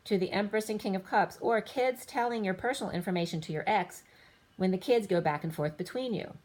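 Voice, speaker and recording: A woman giving a rambling tarot reading, listing cards in loose fragments, her voice going a little hoarse. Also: mid-range voice, fairly quick, darkish tone, low-quality recording. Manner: rambling, lists card after card in loose fragments. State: slightly losing her voice. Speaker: tarot reader.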